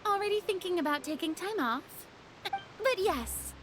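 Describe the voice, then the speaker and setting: High-voiced, playful young game character. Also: female voice, lively intonation, a little slow, over music. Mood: teasing, reassuring. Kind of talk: anime video game voice acting.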